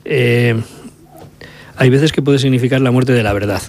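A man's voice: mid-range voice, normal speed. A middle-aged male journalist with a Basque accent from Bilbao, answering interview questions in a reflective, anecdotal way at a studio microphone. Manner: reflective, anecdotal, laughs easily. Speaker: journalist guest. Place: studio at a narrow table with a chroma backdrop. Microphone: table microphone.

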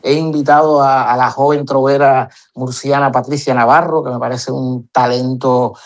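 A middle-aged Cuban man, an improvising poet, answering interview questions in an animated way with frequent restarts. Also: medium pitch, moderate pace, muffled recording. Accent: Cuban Spanish. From Cuba. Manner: animated, lots of repeated restarts.

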